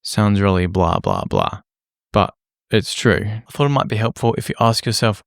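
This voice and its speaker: A man with a slightly croaky voice, talking casually to camera in a rambling, self-deprecating, apologetic way. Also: fairly low pitch, huge pitch swings, moderate pace, dry recording, studio quality. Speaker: music producer and vlogger. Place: small home room lit by a lamp. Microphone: camera-facing setup at a desk.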